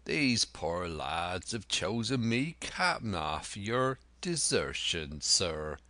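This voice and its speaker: Man playing cheery old pirate, sly, rustic sailor talk, bright forceful voice, swooping drawn-out calls, storybook. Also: low voice, very animated, no echo, exaggerated voice. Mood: wheedling.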